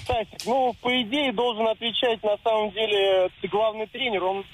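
Proud, aggrieved goalkeeper acted by a man, very high-pitched male voice, sarcastic, faint noise behind, comic radio sketch. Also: steady tone, moderate pace, natural voice, poor audio. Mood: reproachful. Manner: argumentative, sarcastic, needling.

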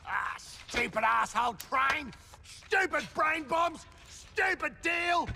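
A man's voice: extremely high pitch, animated, takes it slowly, creaky, slight background noise, heavily acted. A man with an Australian accent playing a brash, whiny, foul-mouthed rogue in a video game cutscene. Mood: indignant. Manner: loud, blustering, sweary. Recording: loud background music.

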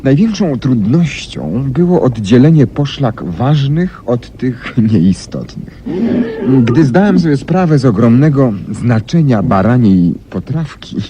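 An actor playing a brilliant, self-assured detective, explaining deductions theatrically with dramatic pauses in a radio drama. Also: male voice, medium pitch, sing-song, dark tone. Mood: confident, teasing. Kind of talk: 1970s Polish radio drama acting. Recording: noisy 1970s radio archive recording.

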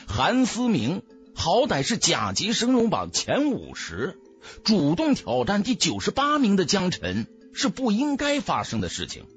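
A man narrating an audiobook in a dramatic, theatrical, expressive storyteller's manner. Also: high-pitched.

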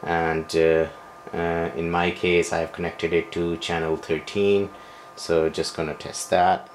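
A man presenting a hands-on equipment tutorial, speaking off the cuff in halting, broken phrases with frequent hesitations. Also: sits low, flat delivery. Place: small bedroom, indoors. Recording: home video with noticeable background noise.

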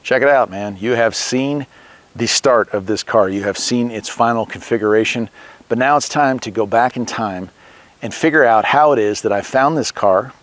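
A man's voice: mid-range voice, moderate pace. A man in his forties hosting a car restoration vlog, talking to camera outdoors and then narrating a personal story. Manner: upbeat on camera, then quiet storytelling voice-over. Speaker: restoration channel host. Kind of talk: vlog intro and reflective narration. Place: outdoors by a garage on gravel.

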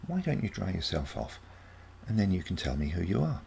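A man's voice: low voice, very animated, brisk, creaky, warm tone, full character voice. Storybook grandmother, played by a man: wry, genteel and haughty, over faint background noise. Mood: hospitable.

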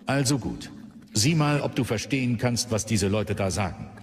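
Man as a low-voiced commanding general. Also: animated, moderate pace, a little hiss or hum, natural voice. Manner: terse, authoritative. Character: commanding, composed male Jedi general. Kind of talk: radio play acting. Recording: reverberant studio drama with music.

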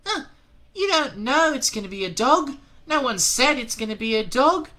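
Bossy young girl, scornful and high-pitched, voiced by a male narrator. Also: expressive, moderate pace, bright, forceful tone, exaggerated voice.

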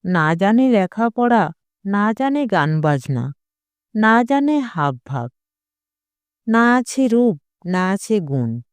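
A narrator reading a literary short story aloud in a measured, dramatic storytelling manner. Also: medium pitch, very animated, clear, resonant tone, no echo. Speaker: audiobook narrator.

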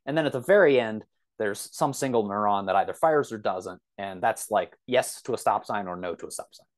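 A man's voice: on the low side, a little fast. A man talking as a podcast guest, explaining things casually and thinking aloud as he searches for words.

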